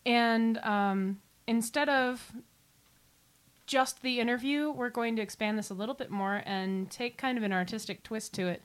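A woman's voice: on the high side, normal speed, clean tone. Playful, rambling woman co-hosting a podcast. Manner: playful, self-deprecating, rambling. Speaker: podcast co-host. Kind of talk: casual chat.

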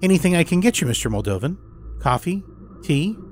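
A man's voice: fairly high pitch, sing-song, fairly quick, voice pushed up. A man acts out a smiling female clinic nurse in an audio horror story. The voice lifts well upward, sugary and courteous, with music underneath. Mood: bright welcome. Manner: courteous, sugary customer-service politeness. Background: music score.

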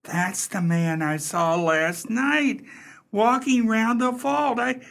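A woman playing a frightened, flustered beadle in a radio play, stammering and halting with folksy, deferential speech. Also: a little high, vocal fry. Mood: frightened, insistent. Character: frightened, flustered beadle who tends the family vault.

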